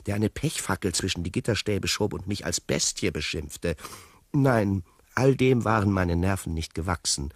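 A fastidious tiger narrating a radio play. The voice is low and husky, recorded dry. He is measured, formal and quietly ironic. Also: no echo, exaggerated voice. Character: wry, fastidious male tiger recounting his escape.

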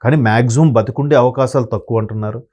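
A man's voice: mid-range voice, fast, a little mellow. A man speaking as an analyst guest, talking in a rambling, sombre way with many restarts. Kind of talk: conversational commentary.

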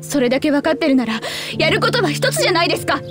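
Bitter girl with very high voice. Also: sing-song, rapid-fire, over music. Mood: bitter, furious. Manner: accusatory, cold, formally polite speech. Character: bitter, accusing young girl grieving her dead sister. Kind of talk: Japanese game voice acting.

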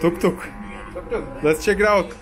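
A man's voice: sits high, steady tone, a little slow, over music. A young man in his twenties, a travel vlogger, chatting and joking playfully with his barber while getting a haircut in a small barbershop. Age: 20s. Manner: playful, joking, laughs often. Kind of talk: casual chat. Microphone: handheld camera close up.

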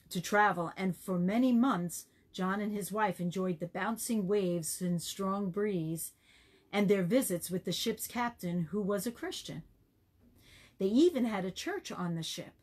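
A woman with a slightly low voice reads a story aloud for children. She moves through it in measured, short phrases.

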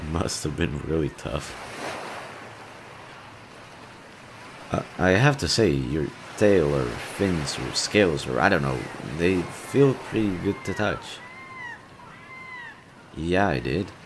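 Low-voiced, kind, bashful young man acted by a man. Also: lively intonation, slow, background noise, heavily acted. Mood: curious, sympathetic. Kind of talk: audio roleplay speaking softly to the listener.

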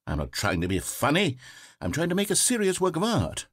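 A man's voice: on the high side, wildly swooping pitch, fairly quick, a bit bright, breathy edge, no echo, heavily acted. A man playing a pompous, self-important video game narrator who is easily rattled, with a theatrical delivery. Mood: indignant. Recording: dry studio recording.

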